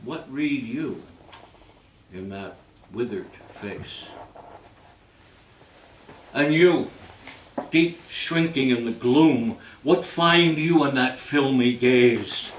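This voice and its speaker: A man reciting poetry in a theatrical, measured manner, with phrases that swell and then fall away. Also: mid-range voice, expressive. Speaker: reader at a readers theater event. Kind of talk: dramatic poetry recitation with spoken introduction. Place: live reading in a roomy space. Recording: roomy, distant microphone, background noise.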